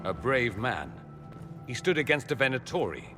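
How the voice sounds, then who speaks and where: An actor playing a wry, haughty mage in a video game, speaking in a polished, sardonic, arch way. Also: male voice, medium pitch, sing-song, moderate pace, music in the background, lightly acted. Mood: grave, dry. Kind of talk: dramatic video game acting.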